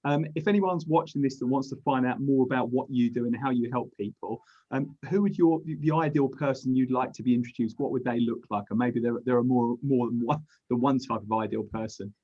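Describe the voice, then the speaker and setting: Male interview host, mid pitch, encouraging, on dull lo-fi audio. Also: a little fast. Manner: friendly, encouraging short replies. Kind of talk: casual interview questions.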